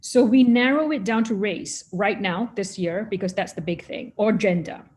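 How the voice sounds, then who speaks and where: A middle-aged woman from Malaysia speaking as a panelist in an online discussion, earnest and emphatic, often halting and repeating words as she reflects. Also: mid-range voice, darkish tone. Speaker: humanitarian professional, panelist. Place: remote online webinar.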